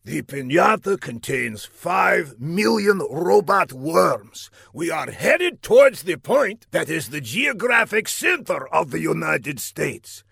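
Comic audiobook villain: gravelly, piercing, theatrical megalomaniac, gleeful and easily exasperated. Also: male voice, fairly high pitch, sing-song, takes it slowly, hardly any pauses, fairly bright tone, dry recording, clean studio sound, voice pushed up, full character voice. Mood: grandiose. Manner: theatrical, emphatic, exclaiming grandly.

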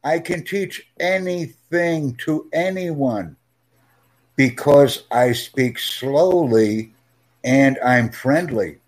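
Slow, emphatic male tutor. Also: mid-range voice. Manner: speaks slowly and clearly, friendly, emphatic repetition. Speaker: English tutor. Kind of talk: slow, clear teaching talk.

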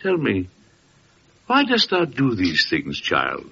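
An actor playing a courteous, weary Persian nobleman and seeker in a classic radio drama, earnest and solemn with archaic, sometimes halting speech. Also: on the low side, wildly swooping pitch, creaky, pushed and bright, husky, heavily acted. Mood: humbled, curious. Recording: dull, noisy old radio recording.

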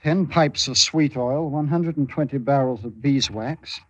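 A man's voice: mid-range voice, measured pace, breathy edge, no room sound, low-quality recording. An actor playing a meek, fidgety teenage cabin boy, deferential and obedient, in an old radio drama. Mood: dutiful.

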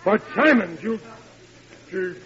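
A flustered, blustering American family man in an old radio comedy. His voice sits fairly high and trips over itself in a folksy stammer. The recording is dull and muffled. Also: lively intonation, slow, fairly bright tone, heavily acted. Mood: nervous. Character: flustered, blustering working-class family father. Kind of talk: 1940s radio comedy acting.

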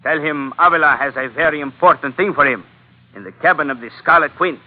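A man's voice: a little high, quick pace, lightly acted. An actor playing a short-tempered, scheming gunman, bossing people about in broken English in an old radio adventure. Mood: sly, commanding. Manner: broken English, imperious and sly. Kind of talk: 1940s-50s radio adventure acting. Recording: narrow-band vintage radio recording, faint noise.

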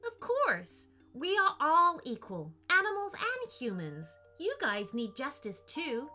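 A woman's voice: high voice, wildly swooping pitch, slow pace, pushed and bright, soft background music, voice pushed up, exaggerated voice. A woman voicing an eager, polite young boy. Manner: earnest, calls others sir.

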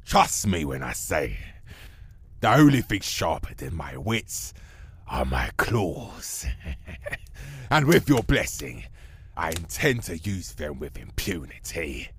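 An actor playing a fearsome werewolf army commander in a comic fantasy audio drama, gruff, theatrical, bragging and fawning to a superior. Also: male voice, rumbling low, sing-song, slow pace, long pauses, full character voice. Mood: boastful, servile. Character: fearsome male werewolf army commander, boastful yet servile.

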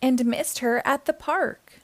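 A woman hosting a storytime, reading a children's picture book aloud in an expressive, theatrical way. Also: high-pitched, slow pace, dry recording. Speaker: storytime host and reader.

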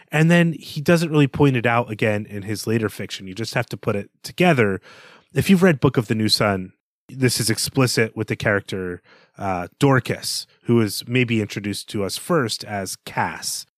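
A man's voice: medium pitch, no echo, studio quality. A man co-hosting a podcast, talking through literary analysis in a studious, explanatory way. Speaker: podcast co-host.

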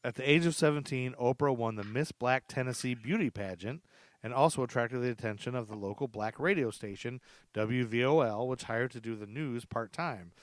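A man's voice: mid-range voice, moderate pace, no room sound. A male podcast host narrates in a mid-range voice, moving between reading from notes and casual chat. He is informal and drops in joking asides. The sound is dry, with very little room in it. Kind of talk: true crime storytelling, part read from notes, part casual chat.

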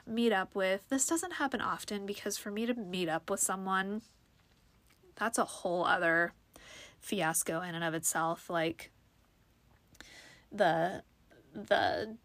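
A woman's voice: mid-range voice, a bit bright, no echo. Sniffly young woman podcasting. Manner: rambling, many fillers and restarts. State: sniffly from allergies. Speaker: podcast host. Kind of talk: casual solo podcast monologue.

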